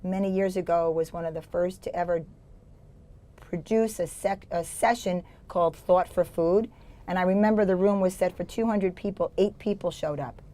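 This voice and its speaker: A woman's voice: fairly low pitch, moderate pace, dark tone. A middle-aged woman hosting an interview, often stammering as she starts her sentences. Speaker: podcast host and event planner.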